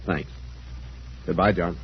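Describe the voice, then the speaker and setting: A man's voice: sits low, takes it slowly. A man playing a glib, ingratiating spendthrift brother in 1940s radio drama style. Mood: smooth sympathy. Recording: muffled, noisy old radio recording.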